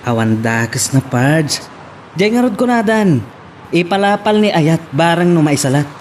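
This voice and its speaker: A man's voice: a little high, expressive, measured pace, slight background noise. A man with an Ilocano accent playing a jovial, teasing best friend in an amateur audio drama. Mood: encouraging. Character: jovial, teasing male best buddy giving love advice.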